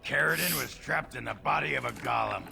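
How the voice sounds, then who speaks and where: A man playing a gruff, crude, irreverent dwarf warrior in a video game, blunt and coarse in manner with a growling voice. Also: brisk, bright, forceful tone, full character voice. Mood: forceful announcing. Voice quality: deep gravelly growl. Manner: blunt, coarse, rough-edged. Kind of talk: video game character acting. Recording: noisy game audio with ambience.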